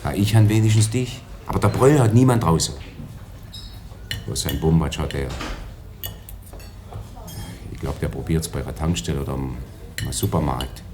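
An actor playing a cheeky, flirtatious escaped convict in a radio play, breezy and colloquial. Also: male voice, extremely low pitch, moderate pace, long pauses, noisy background. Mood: casual, scheming. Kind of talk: 1980s German radio play acting.

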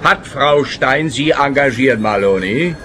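An actor playing a dim, halting, pompous police officer in a comic radio play. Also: male voice, medium pitch, animated, a bit bright, soft background music. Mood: ponderous.

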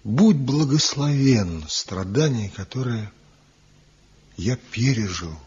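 A brooding rejected lover. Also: male voice, mid-range voice, animated, a little mellow, breathy edge, full character voice. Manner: slow, brooding, impassioned declamation. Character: Zhivko, passionate rejected lover, a master carver. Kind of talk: 1970s radio drama acting. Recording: dull lo-fi old radio recording with music.

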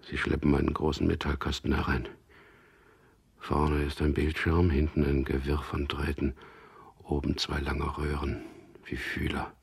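Captive prisoner narrating in a radio play, super husky n croaky, hushed and terse, stoic. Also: male voice, rumbling low, completely flat delivery, a little slow, exaggerated voice. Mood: hushed, watchful. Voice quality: gravelly, husky, half-whispered. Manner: terse, hushed inner monologue. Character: captive male prisoner narrating his own interrogation, stoically enduring. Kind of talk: first-person radio drama narration in present tense.

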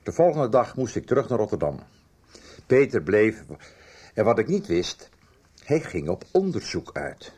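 An actor playing an older book publisher who haltingly and deliberately recounts a guilty past in a radio drama. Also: male voice, on the low side, darkish tone, husky, exaggerated voice. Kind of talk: radio drama dialogue, reminiscing.

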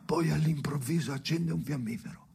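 A man playing a haunted old narrator in a bleak radio drama, speaking in halting, hushed fragments with a gravelly voice. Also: fairly high pitch, noisy background. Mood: hushed, brooding. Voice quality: gravelly, creaky. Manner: slow, fragmented, halting delivery with hushed whispery phrases. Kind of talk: dramatic monologue in a stage-style radio play.

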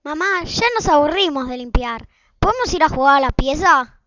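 A woman's voice: sits high, expressive, moderate pace, bright, forceful tone, no room sound. A woman playing a schoolchild, the elder sibling in a family radio play. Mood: plain. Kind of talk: amateur radio play acting. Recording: dull, dry, lo-fi recording.

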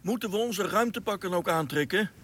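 High, excitable young-sounding crewman. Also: brisk, breathy edge, heavily acted. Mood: cheeky, eager. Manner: colloquial, impulsive. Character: eager, cheeky, excitable male spaceship crewman. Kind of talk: radio drama acting.

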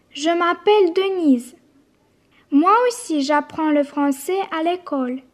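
Eager polite schoolgirl, really high voice, reciting carefully for a radio language lesson. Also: darkish tone. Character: young schoolgirl learning French, polite, eager. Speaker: pupil character in language programme. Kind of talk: scripted bilingual radio lesson, repetition.

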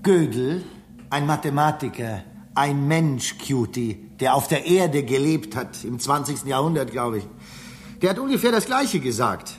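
A man's voice: fairly high pitch, slight background noise, lightly acted. An actor in a radio drama playing an impatient, sarcastic human crewman on a space station. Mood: explaining. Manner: impatient, mocking, excitable. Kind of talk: German radio drama acting.

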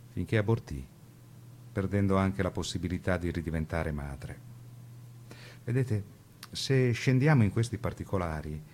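A man narrating a scripted radio documentary drama in a grave, deliberate way with theatrical phrasing. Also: on the low side, darkish tone, husky.

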